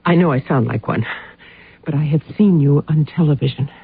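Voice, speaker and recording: An actress playing a distraught, insistent wife who pleads earnestly, in the style of old-time radio drama. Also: female voice, low-pitched, a little fast, slightly rough, no echo, full character voice. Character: distraught, pleading wife of a missing truck driver. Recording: noisy, narrow-band old radio recording.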